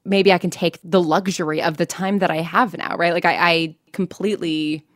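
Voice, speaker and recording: A woman's voice: fairly low pitch, steady tone, moderate pace, no room sound, clean studio sound. A young American woman telling her own story casually in an interview, chatty and self-deprecating. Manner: chatty, self-deprecating, laughs while talking. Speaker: podcast creator and actor interviewed.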